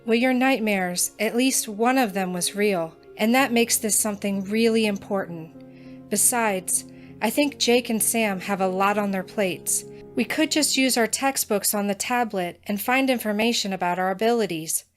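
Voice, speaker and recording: A woman's voice: mid-range voice, normal speed, faint music behind, lightly acted. An actress playing a practical young female student with magic powers who talks earnestly and keeps proposing plans.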